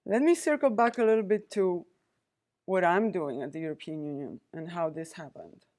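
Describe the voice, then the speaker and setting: A woman in her forties from Poland, speaking, giving a prepared university lecture as a visiting diplomat, with a measured, deliberate delivery and pauses between listed points. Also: on the low side, darkish tone. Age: 40s.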